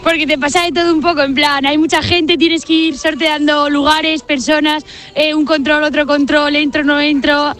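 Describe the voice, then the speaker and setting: High-voiced female interviewee, casual. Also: flat delivery, normal speed, a bit bright. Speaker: pilgrim being interviewed. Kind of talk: casual unscripted interview answers. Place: outdoors in a meadow.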